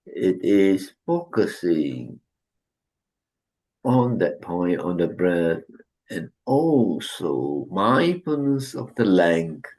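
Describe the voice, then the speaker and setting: A man leading a guided breathing meditation, giving calm instructions with long pauses. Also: deep voice. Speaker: meditation teacher.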